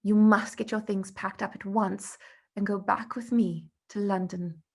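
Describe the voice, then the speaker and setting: A woman's voice: medium pitch, fairly even intonation, measured pace, gravelly, a little mellow, husky. A woman voicing an elegant, affectionate aunt in a storybook, fond, emphatic and decisive. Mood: apologetic.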